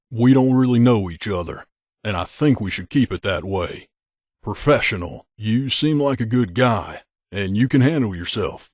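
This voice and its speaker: Male actor as gruff game mercenary, low voice, middle-aged, terse, aloof, guarded loner, dry close recording. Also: no room sound. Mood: firm, businesslike, then cordial, reserved. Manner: terse, aloof, matter-of-fact. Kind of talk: video game character dialogue.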